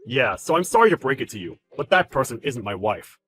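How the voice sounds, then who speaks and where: A man playing a calm, polite, deferential employee in a dramatized story, speaking in a measured and formal way. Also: medium pitch, soft background music. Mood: calmly firm. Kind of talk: dramatized story narration with character voices.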